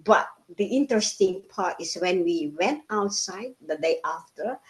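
A woman's voice: on the low side, animated, unhurried, rough recording. A woman in her fifties from the Philippines, a native Tagalog speaker, recounting her life in a casual interview with many fillers and restarts. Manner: chatty with frequent fillers and restarts.

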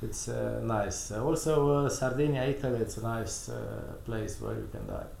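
A man answering interview questions, hesitant with many pauses but good-humored. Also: mid-range voice, sing-song, vocal fry, darkish tone, slight background noise. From Romania. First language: Romanian. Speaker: guest, restaurant owner. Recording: roomy sound with faint background.

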